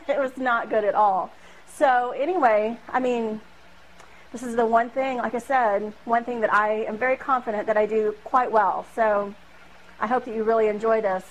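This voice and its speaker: A woman's voice: fairly high pitch, fairly even intonation, normal speed, slight background noise, poor audio. A woman in her thirties giving a casual cooking demonstration in a small home kitchen, chatty and self-deprecating, recorded by a camera across the room. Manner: chatty and self-deprecating, with frequent fillers and laughs. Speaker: home cooking video host.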